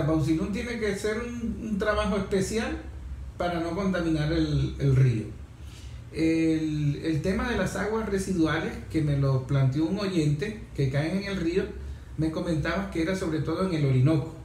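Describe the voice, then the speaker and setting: A man's voice: a little high, normal speed, a little mellow. A man with a Venezuelan accent moderating a talk programme conversationally, reflective and often searching for his words. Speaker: host, moderator. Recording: echoey room, faint noise.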